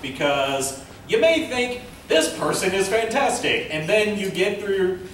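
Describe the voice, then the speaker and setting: Higher-voiced man in a very echoey room. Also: animated, a little slow, a bit bright. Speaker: panel presenter, amateur director and voice actor. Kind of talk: informal convention panel talk. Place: convention panel room. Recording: echoey room from far off, faint noise.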